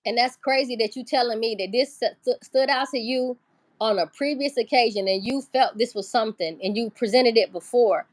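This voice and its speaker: A woman's voice: a little high, brisk, no room sound. A woman hosting a casual livestream, talking loosely, swearing and greeting viewers in the chat. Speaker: livestream host.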